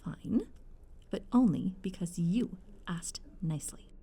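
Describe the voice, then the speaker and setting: A woman's voice: fairly low pitch, expressive, unhurried, warm tone, slightly rough, heavily acted. A woman playing a wry, bossy, doting mother and grandmother-to-be in a roleplay audio drama, with a playfully haughty, commanding manner.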